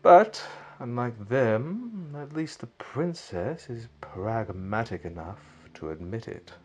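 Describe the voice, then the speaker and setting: An actor playing a wicked, mocking sorcerer villain in an audio drama, with a taunting, theatrical delivery. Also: male voice, medium pitch, lively intonation, darkish tone, slightly rough. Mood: gloating. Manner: taunting, theatrical, drawn-out delivery with sinister chuckles. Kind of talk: fan audio drama acting.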